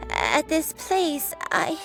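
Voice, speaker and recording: An actress playing a frail, gentle elderly woman in a video game, speaking in a halting, wistful way. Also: sits high, sing-song, gravelly, music in the background, heavily acted. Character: frail gentle elderly granny recalling her past.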